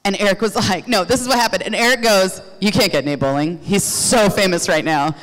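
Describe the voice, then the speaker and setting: A woman co-hosting a conference, telling a quick backstory with playful, animated banter into a handheld mic. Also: medium pitch, soft background music. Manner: animated, playful storytelling, swears. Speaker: conference co-host. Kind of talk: casual stage banter. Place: large conference hall on stage. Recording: long hall reverb.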